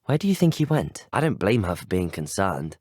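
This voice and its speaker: A young British student wizard, the hero of a video game. He speaks politely and with curiosity in a low, slightly husky voice. His delivery is measured and well-spoken, recorded very dry and close. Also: sing-song, darkish tone, dry recording, full character voice. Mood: earnest, composed. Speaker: video game player character. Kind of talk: scripted game dialogue.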